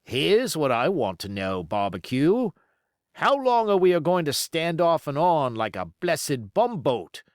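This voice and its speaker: A man voicing a gruff, impatient pirate coxswain in an audiobook, complaining emphatically in seafaring dialect. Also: a little high, very animated, unhurried, no echo, studio quality, voice pushed up. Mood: impatient, greedy. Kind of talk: audiobook character voice.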